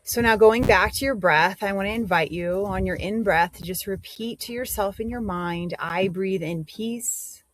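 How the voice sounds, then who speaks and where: A woman's voice: mid-range voice, a little hiss or hum, poor audio. Woman leading a guided meditation. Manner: gentle instructive phrasing with pauses.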